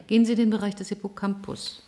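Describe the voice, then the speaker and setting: An actress playing a composed, grave doctor in a German radio drama, speaking in a measured, clinical way. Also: female voice, medium pitch, level tone, dark tone, slight background noise, close to an everyday voice. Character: composed, grave female doctor explaining brain findings.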